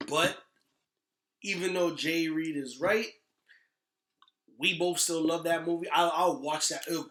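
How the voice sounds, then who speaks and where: An echoey, roomy recording of a man with a slightly high voice. He chats casually as a podcast host, using emphatic slang and the odd swear word. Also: unhurried, fairly bright tone. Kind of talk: casual podcast chat about movies and games.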